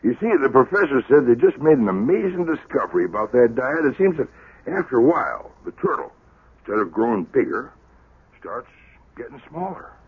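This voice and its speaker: Rough raspy American prankster, sly, folksy, mischievous, lively intonation, small-town radio drama. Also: male voice, medium pitch, brisk. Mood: mock solemn. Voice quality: very husky, rough. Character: sly, gleeful small-town prankster spinning tall tales. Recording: muffled lo-fi old radio broadcast.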